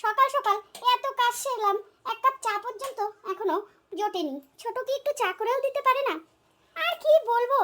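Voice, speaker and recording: A woman's voice: very high voice, bright, forceful tone. A woman voicing an overworked elder daughter-in-law in a comic cartoon, grumbling and long-suffering but forgiving. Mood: softening, forgiving.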